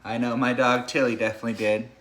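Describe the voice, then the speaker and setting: A man speaking as a teacher to a young class, sharing a picture book in an animated storybook read-aloud style, with casual chat. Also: medium pitch, fast.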